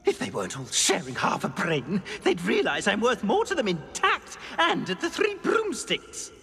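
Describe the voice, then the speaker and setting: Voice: mid-range voice, huge pitch swings, moderate pace, pushed and bright, long reverb tail, exaggerated voice. An actor voicing a vain, gossipy talking portrait in a video game, haughty and indignant. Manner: pompous, indignant, theatrical. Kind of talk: video game character acting.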